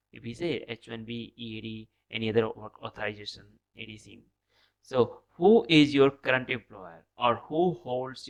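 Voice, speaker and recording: A man's voice: mid-range voice, gravelly, a bit bright, low-quality recording. A man presenting a training lesson as scripted instructional narration, reading points step by step with short directives.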